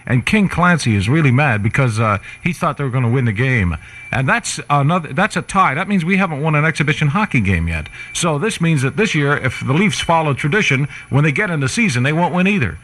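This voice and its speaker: Casting: male morning DJ, 1960s AM style, breezy and slangy, very animated, high-ish voice. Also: a little fast. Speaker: radio disc jockey, show host. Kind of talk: upbeat 1960s AM radio DJ patter. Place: radio studio. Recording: vintage radio aircheck with music.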